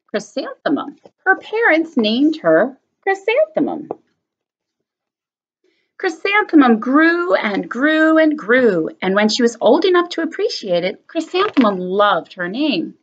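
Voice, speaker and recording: Teacher lady reading a kids book aloud, lively and sing-songy, kinda muffled audio. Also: fairly high pitch. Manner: expressive, animated read-aloud. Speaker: elementary school teacher. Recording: slightly muffled lo-fi recording.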